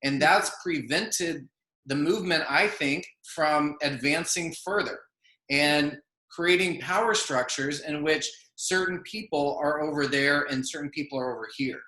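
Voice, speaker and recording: A man moderating a panel discussion, speaking in a thoughtful, measured way and often adding "I think" and "you know.". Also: on the high side, bright, forceful tone, roomy sound, rough recording. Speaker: session moderator.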